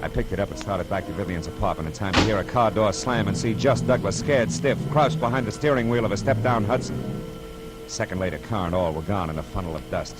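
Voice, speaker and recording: A man's voice: fairly low pitch, quick pace, lightly acted. A man with an American accent playing a wisecracking, hard-boiled private detective in a 1950s radio drama, tough, clipped and sardonic. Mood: brisk narration. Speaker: lead actor and narrator. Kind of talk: 1950 radio detective acting. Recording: old radio broadcast with loud music behind.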